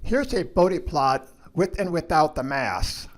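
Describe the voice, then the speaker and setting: Man narrating instructions, slightly higher-pitched voice. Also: sing-song, slow.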